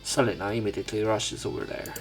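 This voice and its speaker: A man with a low voice narrates a visual novel as a let's player. He reads the story aloud and breaks off to remark on it, with music playing underneath. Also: little pitch movement, fast talker.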